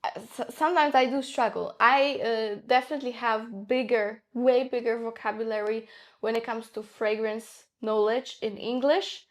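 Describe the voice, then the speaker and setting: A woman's voice: on the high side, steady tone, normal speed. A young woman whose first language is Polish, chatting enthusiastically in a casual perfume review, with frequent fillers.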